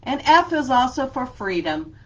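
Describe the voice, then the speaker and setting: A woman librarian leading a children's story time, reading a picture book aloud and explaining gently. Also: high voice, very animated, moderate pace, highs cut off.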